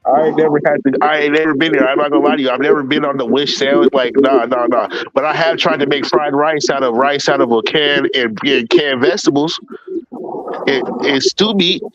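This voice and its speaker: A man on a call, joking loudly and firing off rapid questions in casual chat. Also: fairly high pitch. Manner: loud, joking storyteller, rapid-fire questions. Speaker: guest on a call. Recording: muffled audio with crowd noise.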